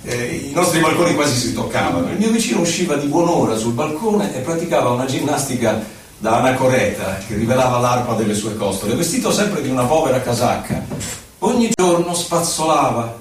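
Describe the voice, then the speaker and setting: Man acting as wistful male poet, lyrical stage monologue, measured and expressive, heavy echo, distant microphone. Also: mid-range voice, natural voice. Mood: wistful storytelling. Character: male poet recalling his past, wistful, lyrical storyteller. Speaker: stage actor. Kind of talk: theatrical poetic monologue. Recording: very echoey, distant from mic, faint noise.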